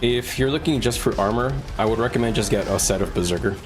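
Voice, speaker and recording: A man talking casually as a game livestreamer, halting as he thinks aloud and gives advice to viewers. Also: mid-range voice. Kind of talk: casual live gaming commentary, advising chat. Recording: loud music behind the voice. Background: music.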